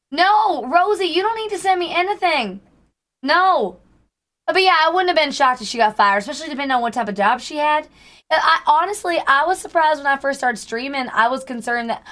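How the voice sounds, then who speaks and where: Streamer, female, hoarse, slightly higher voice, faking a Southern drawl, chatty with viewers. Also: fairly bright tone. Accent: put-on Southern US. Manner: chatty, greets and thanks chat by name. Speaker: livestreamer. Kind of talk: casual livestream chat with viewers.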